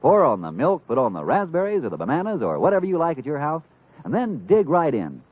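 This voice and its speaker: A man's voice: a little high, wildly swooping pitch, quick pace, slightly rough. A man delivering a punchy, emphatic vintage radio commercial in salesman style. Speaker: commercial announcer. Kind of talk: 1950s radio advertisement pitch. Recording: thin, narrow old radio recording.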